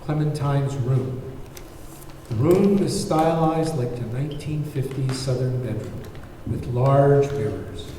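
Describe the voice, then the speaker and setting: A man's voice: medium pitch, takes it slowly, dark tone. A man reading stage directions as scripted narration at a table read. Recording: very echoey, distant, faint noise.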